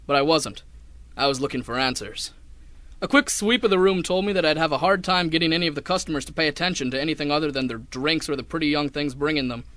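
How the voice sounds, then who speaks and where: A young man playing a hard-boiled, wry detective who narrates a 1930s noir radio drama in a deadpan way. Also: fairly high pitch, fast talker, no echo, natural voice. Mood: wry narration. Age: young adult. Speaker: narrator and lead actor.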